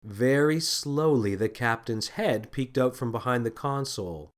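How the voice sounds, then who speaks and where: A man narrating a scripted children's story in an expressive, deliberate storytelling manner. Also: medium pitch. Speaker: author and narrator.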